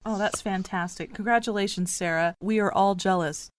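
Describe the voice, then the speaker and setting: A woman's voice: medium pitch, steady tone, normal speed, slight background noise. A woman presenting a roundtable podcast as its host.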